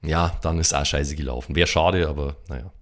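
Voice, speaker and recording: Deep voiced guy just chatting casually on a tabletop game podcast. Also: steady tone, fairly quick, fairly bright tone. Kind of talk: casual chat.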